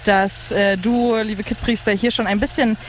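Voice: medium pitch, steady tone, normal speed, slight background noise. An enthusiastic radio host in their thirties. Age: 30s. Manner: enthusiastic, hesitant searching for words. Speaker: radio host at a music festival. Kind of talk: casual live radio chat. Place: open lounge area at a festival site. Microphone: broadcast microphone.

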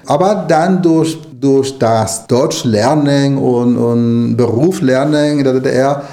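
Middle-aged guy from nicaragua, native spanish speaker, gravelly low-key voice, thinks out loud in an interview. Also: takes it slowly. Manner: thoughtful, with many restarts and fillers. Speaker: writer and language lecturer. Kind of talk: casual interview answers.

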